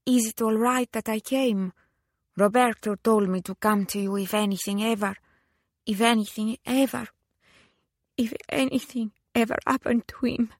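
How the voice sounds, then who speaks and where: An impulsive, charming Italian widow, as a female narrator plays her in an audiobook. Her accent is Italian and her delivery is effusive and dramatic. The pitch is lifted and the sound is close and dry. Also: fairly even intonation, moderate pace, no echo. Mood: tearful, faltering.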